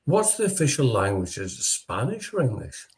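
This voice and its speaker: A man hosting a casual live group discussion, chatty and quick to jump in over others, swearing now and then. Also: medium pitch, wildly swooping pitch, crowd noise. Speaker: host. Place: lives in Germany.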